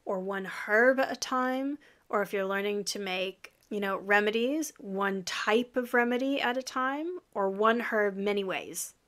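A woman's voice: mid-range voice, a little slow, few pauses, fairly bright tone. A woman in her thirties with an American accent, a guest herbalist chatting casually on a video call from a home office, laughing often as she explains. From lives in Yorkshire, UK. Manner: chatty, laughs often, gestures while talking. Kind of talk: casual interview chat. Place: home office with framed flower print.

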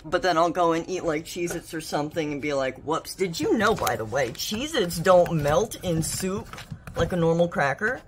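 A woman's voice: mid-range voice, a little hiss or hum. A young woman vlogging casually at home, chatty and bubbly with a self-mocking streak. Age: young adult. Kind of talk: casual vlog chat. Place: living room at home. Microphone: phone camera at arm's length.

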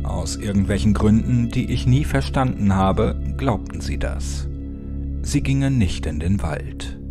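A man narrating a first-person horror story as a scripted audiobook, with deliberate, dramatic phrasing. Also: on the low side. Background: music.

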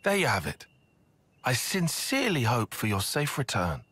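An actor playing a stern, guarded male soldier with formal, measured wording in a video game. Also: medium pitch, huge pitch swings, a bit bright, husky, full character voice. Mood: cool, formal.

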